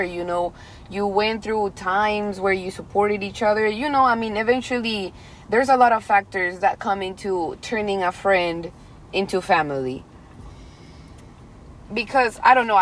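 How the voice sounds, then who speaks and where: A woman in her twenties hosts a casual podcast chat with friends on a video call. She talks loosely and reflectively, with plenty of fillers, at a middle pitch. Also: a little hiss or hum, low-quality recording. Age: 20s. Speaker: life coach and podcast host.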